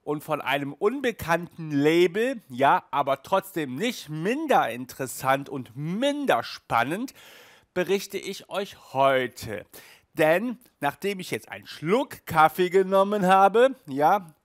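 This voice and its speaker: A man's voice: a little high, sing-song, takes it slowly, no echo, clean studio sound. A man in his thirties talking casually and emphatically to camera as a reviewer, with frequent tag words, recorded indoors on a headset mic. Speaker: audio drama reviewer and host. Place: small indoor room at home.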